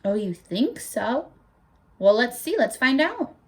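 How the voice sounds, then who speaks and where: Animated woman reading to children. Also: on the high side, slow pace. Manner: animated, asks children questions. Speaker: teacher. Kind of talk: children's read-aloud.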